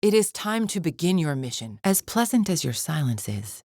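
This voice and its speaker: A woman's voice: sits low, sing-song, moderate pace, darkish tone, no echo, clean studio sound, heavily acted. A woman playing a proud, impatient alien warrior champion in a video game, speaking with formal, imperious scorn. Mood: imperious, irritated. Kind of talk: video game character acting.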